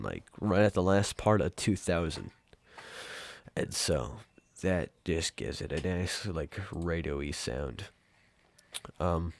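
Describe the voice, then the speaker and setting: A young man hosting a casual software tutorial in an informal, rambling way. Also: low voice, no echo. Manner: informal, rambling, mild swearing. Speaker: tutorial host. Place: room at home.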